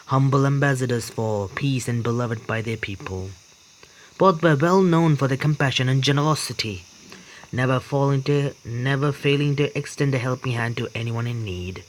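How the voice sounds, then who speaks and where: A man hosting a game playthrough, commenting casually and reading aloud in a halting way with stumbles. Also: mid-range voice, slight background noise, poor audio. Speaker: gaming video host.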